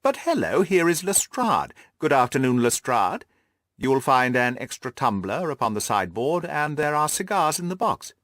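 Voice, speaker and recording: A man's voice: medium pitch, big pitch swings, normal speed, a bit bright, no echo, studio quality. A man voicing a confident, amused detective in an audiobook, precise and teasing in his talk. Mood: brisk, assured. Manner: wry, precise, self-assured. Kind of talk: audiobook character dialogue.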